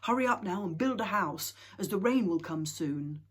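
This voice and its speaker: A woman voicing a steady, authoritative father troll who speaks firmly and decisively in a storybook reading. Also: mid-range voice, normal speed, slightly rough. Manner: firm and decisive.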